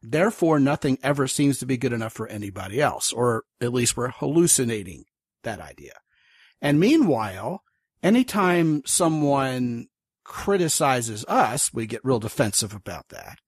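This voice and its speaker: A man hosting a podcast interview, talking at length in a wordy, animated way and acting out the inner voices he describes. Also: medium pitch, moderate pace, slightly rough, dry recording, studio quality. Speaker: podcast host. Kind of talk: conversational interview.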